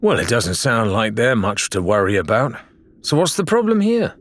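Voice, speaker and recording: A man's voice: mid-range voice, big pitch swings, normal speed. A man voicing a bold, hot-headed female pirate in an audiobook, impatient and challenging. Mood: dismissive.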